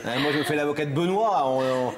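A male talk show host asking brisk, playful questions in a TV studio. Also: on the high side, a crowd in the background. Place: large TV studio with audience.

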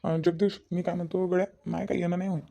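A man voicing a lovesick, dutiful young husband in a cartoon drama, soft-spoken and repetitive, with a Varhadi Marathi accent. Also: sits high, flat delivery, fast, gravelly. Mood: glum. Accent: Varhadi Marathi from Vidarbha. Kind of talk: cartoon family drama dialogue.

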